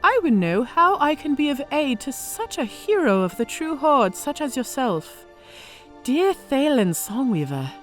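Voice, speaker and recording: A woman's voice: sits high, sing-song, unhurried, a bit bright, faint music behind, heavily acted. A woman playing a smug female orc centurion in an audio drama, speaking with mock-sweet politeness and cool menace. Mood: smooth flattery.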